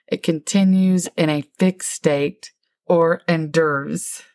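A woman's voice: low voice, dry recording. A middle-aged woman co-hosting a podcast with her daughter, explaining a Bible word study in an easygoing, explanatory way. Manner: warm, explanatory, reads definitions slowly. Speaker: podcast co-host, Bible teacher.

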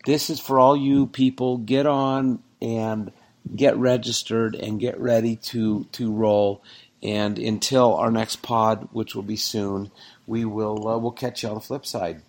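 A man's voice: fairly low pitch, little pitch movement, measured pace, darkish tone. Rambling, jokey man co-hosting a podcast. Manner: rambling, jokey, gets worked up over stats. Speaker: podcast co-host. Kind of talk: casual sports podcast chat.

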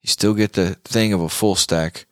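A man's voice: fairly low pitch, steady tone, moderate pace, clear, resonant tone, no room sound, studio quality. A man hosting a casual guitar talk show, chatting in an informal, emphatic, slangy way. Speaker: show host.

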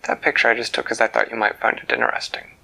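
A polite young girl giving plain, matter-of-fact answers, voiced by a man telling a story. The voice is hushed and gravelly, with a bright edge. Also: medium pitch, monotone, fast, whispered, heavily acted.

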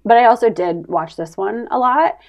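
A woman's voice: a little high, normal speed, muffled recording. An American woman in her thirties, chatting casually and playfully as a podcast co-host, often saying "like". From New Jersey, United States. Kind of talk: casual comedic podcast chat.

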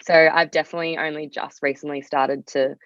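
A woman's voice: sits low, little pitch movement, fairly quick, a bit bright, no room sound, muffled recording. A young Australian woman speaking as a podcast guest in a casual, candid way, rambling and often saying "like". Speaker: podcast guest, singer-songwriter. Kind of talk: casual conversational interview.

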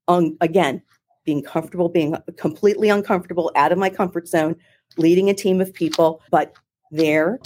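A middle-aged woman with a Philadelphia accent, telling her career story in an interview in a chatty, animated way with many restarts. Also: lowish voice. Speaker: marketing executive, guest. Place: home or office in Ohio, remote call.

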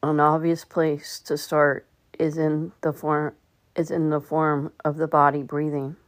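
Low, even-toned woman guiding meditation. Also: dry recording. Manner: gentle instruction with long pauses. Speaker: meditation guide and podcast host. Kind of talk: guided meditation.

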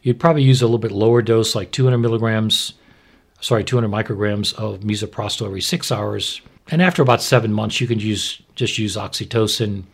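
Husky low-voiced man explaining medicine. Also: fairly even intonation, fairly quick. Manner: informal, plain-spoken, instructive. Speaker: obstetrician, expert guest.

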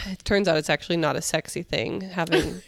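Woman cohost, husky cold voice, jokey and swears, dry mic. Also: fairly low pitch, steady tone, normal speed, no echo. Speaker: podcast co-host. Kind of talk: casual chat.

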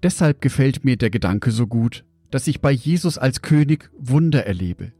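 A man giving a scripted devotional in a measured, reflective way, speaking directly to the listener. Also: mid-range voice, expressive, warm tone, husky, no room sound, faint music behind.

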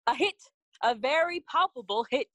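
A woman's voice: high voice, expressive, normal speed, fairly bright tone, no echo. A woman playing a loyal, anxious young attendant in a classical audio drama, speaking earnestly and deferentially. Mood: dutiful.

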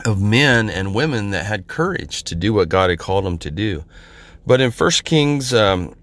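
A hoarse, middle-aged man from Central California preaches an informal podcast sermon in a fairly low voice. He talks in a halting way, full of pauses and restarts, and his voice sounds worn from a cold. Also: fairly bright tone. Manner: halting, many fillers and restarts. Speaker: podcast minister.

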